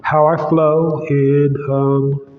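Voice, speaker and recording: A man's voice: fairly high pitch, little pitch movement, drawn-out words. A man hosting his own podcast, talking casually and loosely with lots of fillers, his nose stuffy and congested. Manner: loose, rambling, with many fillers. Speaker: podcast host. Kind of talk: casual solo podcast monologue. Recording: roomy, long-echo, slightly dull sound.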